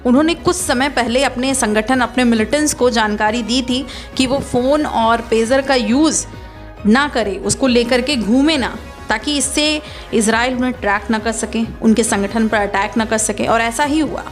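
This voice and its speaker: High-voiced woman narrating over music. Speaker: video presenter. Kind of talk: explainer narration. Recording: music bed behind voice.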